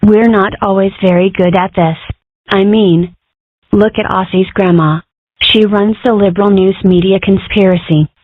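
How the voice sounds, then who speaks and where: A woman's voice: medium pitch, no room sound, close to an everyday voice. Woman as wry young dragon babysitter, thin phone-like sound. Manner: matter-of-fact, dry, casual. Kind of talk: cartoon comic dub. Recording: dry, narrow-band phone-like sound.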